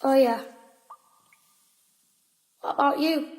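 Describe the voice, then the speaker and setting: A woman's voice: sits high, slow. A woman playing an anxious, gloomy but loyal schoolboy in an audio drama, with a worried, doleful manner. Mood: puzzled.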